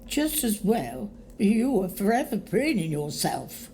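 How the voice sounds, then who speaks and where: Woman acting a tart, mellow-toned grumbling ghost. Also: mid-range voice, sing-song, slow pace. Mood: disapproving. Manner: tart, scolding. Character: grumbling, disapproving lady ghost. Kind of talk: audio drama acting. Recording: faint noise behind.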